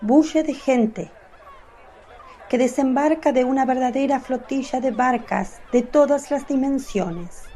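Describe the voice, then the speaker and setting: A woman narrating a radio drama in a calm, measured storytelling manner. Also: on the high side, a little hiss or hum.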